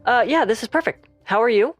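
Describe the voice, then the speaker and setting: A woman's voice: a little high, animated, fairly quick, soft background music. A woman playing a candid podcast creator, chatting casually and a little hesitantly as an interview guest. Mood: relaxed, candid. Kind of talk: casual conversation.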